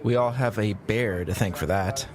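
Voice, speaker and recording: A man's voice: lowish voice, moderate pace, close to an everyday voice. An actor playing a brash, sarcastic young man who teases his friends in an audio drama. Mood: mocking. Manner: mocking, swearing, teasing. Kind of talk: casual audio drama dialogue. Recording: busy crowd behind dialogue.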